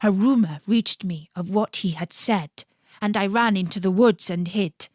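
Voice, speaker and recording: A woman's voice: medium pitch, a little slow, darkish tone, dry recording, studio quality, lightly acted. A woman playing a lying, aggrieved Greek man in a storybook tale, speaking persuasively and declaiming. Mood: earnest, persuasive.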